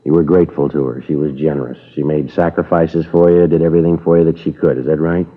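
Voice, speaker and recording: An actor playing a stern, dogged middle-aged police sergeant, clipped and deadpan, in a 1950s radio crime drama. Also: male voice, a bass voice, fast talker. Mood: measured, insistent. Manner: clipped, deadpan, matter-of-fact. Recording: muffled old 1950s radio recording.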